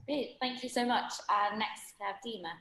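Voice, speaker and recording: A woman's voice: fairly high pitch, normal speed, fairly bright tone, roomy sound, a little hiss or hum. A woman hosting an online panel webinar on a video call, chatty and full of fillers and restarts. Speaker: webinar host.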